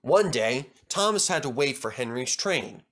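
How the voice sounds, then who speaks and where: A man's voice: medium pitch, lively intonation, measured pace, fairly bright tone. A man reading a scripted children's story as its storyteller.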